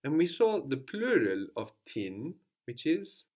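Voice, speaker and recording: A man's voice: medium pitch, big pitch swings, takes it slowly, a little mellow. A man teaching a language lesson, patiently prompting his student with questions and repeating the answers back.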